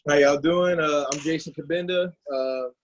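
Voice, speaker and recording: A young man in his twenties from New Jersey, a pro football player, speaking in a casual, relaxed way. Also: a little high, moderate pace, lo-fi sound, a little hiss or hum.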